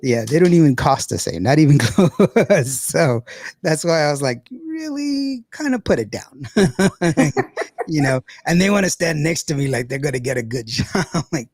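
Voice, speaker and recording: A raspy-voiced man hosting a podcast in a casual, rambling way, often repeating words as he goes. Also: on the high side, big pitch swings, normal speed, darkish tone, dry recording, studio quality. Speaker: podcast host. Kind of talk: casual chat.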